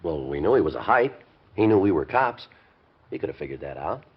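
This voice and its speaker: A man playing a brisk, matter-of-fact police detective in a 1950s radio drama. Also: sits low, sing-song, breathy edge, exaggerated voice. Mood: defensive. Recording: noisy, dull old radio recording.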